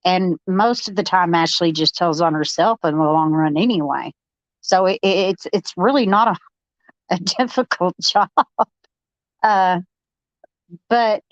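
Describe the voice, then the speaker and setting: A woman's voice: on the low side, a little slow, no room sound, muffled recording. A woman panelist on a live discussion, talking casually and reading out viewer comments.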